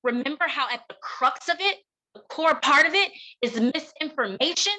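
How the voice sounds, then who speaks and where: A woman with an American accent speaks as a keynote educator. Her voice is high and forceful, and she drives her points home with deliberate pauses and emphatic repetition. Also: expressive, rough recording. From United States. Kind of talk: impassioned keynote talk.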